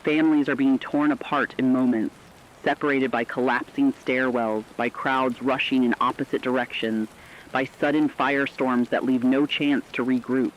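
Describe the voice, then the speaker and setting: A man's voice: mid-range voice, fairly even intonation, normal speed, lo-fi sound, a little hiss or hum, lightly acted. A man acting as a radio correspondent, reading scripted dramatic news in a solemn, earnest, rhetorical manner. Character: solemn radio correspondent reporting human survival amid apocalypse.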